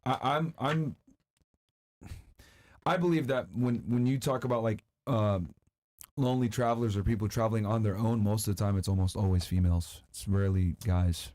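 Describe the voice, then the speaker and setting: A man's voice: fairly low pitch, steady tone, normal speed, darkish tone. A man hosting a podcast, probing a guest with matter-of-fact questions and frequent restarts. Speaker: podcast host interviewing a guest. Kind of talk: casual questioning interview.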